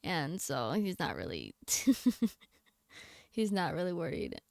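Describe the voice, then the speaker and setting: A woman's voice: sits low, wildly swooping pitch, slow, gravelly, no echo, studio quality. A young adult woman livestreamer chatting casually with her viewers in a slangy, blunt, candid way. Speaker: game livestreamer.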